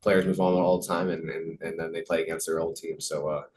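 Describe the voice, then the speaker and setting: Deep-voiced young man, quick rambling speech. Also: music in the background. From United States. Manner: relaxed, repeats words, many fillers. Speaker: professional soccer player. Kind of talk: casual interview answers.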